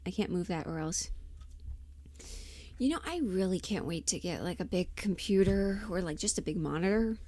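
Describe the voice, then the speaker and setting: A woman chatting casually on a livestream, thinking aloud in a halting, self-deprecating way, often muttering to herself. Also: on the low side, lively intonation, dark tone. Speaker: livestream host, voice performer.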